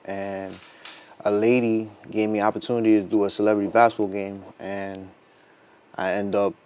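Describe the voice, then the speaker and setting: A man with a fairly low voice speaks as a guest in a casual interview. He tells his story informally, in plain everyday words. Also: flat delivery, moderate pace, darkish tone, clean tone. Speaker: interview guest, small business owner.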